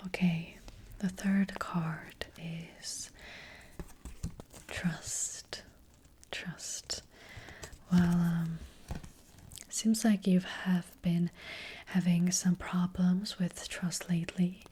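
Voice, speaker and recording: Whispering, slow, gentle witch fortune teller played by a woman. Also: lowish voice, little pitch movement, slight background noise. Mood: gentle, advising. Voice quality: breathy whisper. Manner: gentle, hesitant, slow and reassuring. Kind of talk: soft-spoken whispered ASMR roleplay. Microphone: close ASMR microphone.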